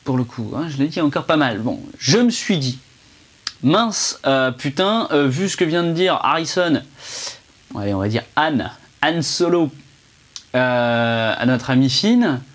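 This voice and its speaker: A man's voice: medium pitch, lively intonation, measured pace. A young man giving a casual, rambling film review, chatty and full of fillers and restarts, speaking indoors to a camera under a ring light.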